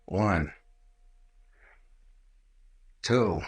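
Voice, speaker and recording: An actor playing a bold, shrewd captain, mocking and self-assured, in 1940s radio mystery style. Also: male voice, sits low, extremely slow. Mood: taunting. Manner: commanding, taunting, self-assured. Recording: echoey old-time radio recording.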